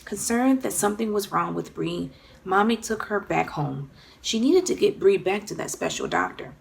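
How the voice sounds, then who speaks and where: A woman narrating a children's story aloud in an expressive, dramatic storytelling manner. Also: fairly low pitch, gravelly. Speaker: children's author reading own book.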